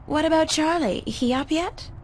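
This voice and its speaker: A woman's voice: sits high, moderate pace, a little hiss or hum, close to an everyday voice. A young woman voicing a weary, wry, easygoing art student in a video game, with a casual, understated delivery. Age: young adult. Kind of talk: conversational video game voice acting.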